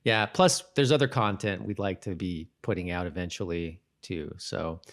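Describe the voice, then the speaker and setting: A man co-hosting a podcast in a casual, chatty style, often halting and rephrasing as he goes. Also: low-pitched. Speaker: podcast host. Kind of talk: casual co-hosted chat about a TV show.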